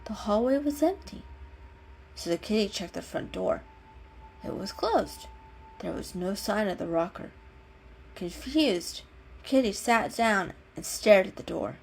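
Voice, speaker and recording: A woman's voice: medium pitch, expressive, unhurried, vocal fry, pauses often, darkish tone, a little hiss or hum, low-quality recording. A woman narrator giving a dramatic storybook reading. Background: music.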